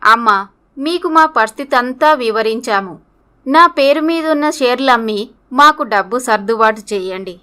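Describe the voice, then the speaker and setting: A woman's voice: on the high side, lively intonation, moderate pace, sharp tone, clear, resonant tone. A woman voicing a loyal, defiant young wife and daughter in a dramatic, earnest story style. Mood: pleading.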